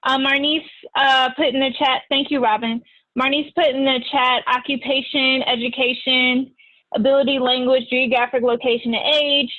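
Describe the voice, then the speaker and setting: A woman's voice: high-pitched, level tone, moderate pace. A woman facilitating a workshop on a video call, speaking hesitantly with many fillers. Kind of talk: leading a discussion. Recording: dry, tinny phone-like audio.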